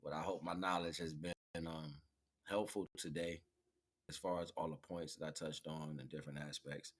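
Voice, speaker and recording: Very deep-voiced man, casual streamer. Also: steady tone, moderate pace. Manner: rambling, slangy, repeats catchphrases. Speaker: livestream host. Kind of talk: casual livestream chat.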